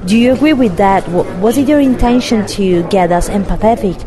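A woman interviewer asking measured, prepared questions about a film. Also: mid-range voice, over music. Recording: crowd noise behind.

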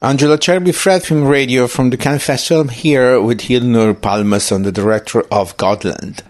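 Brisk male radio interviewer. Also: mid-range voice, slight background noise. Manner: direct and brief, reading introductions and questions. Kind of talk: festival interview questions.

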